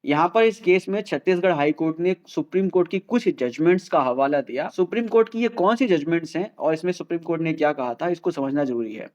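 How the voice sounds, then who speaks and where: Higher-pitched Indian man explaining, dull recording. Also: a little mellow. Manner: didactic, repeats key points. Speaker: host of a legal explainer channel. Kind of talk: explainer to camera, mixing Hindi and English.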